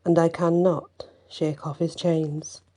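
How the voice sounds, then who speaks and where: A gentle old woman, as a storyteller puts her on. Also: sits low, flat delivery, slow. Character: kindly old captive woman.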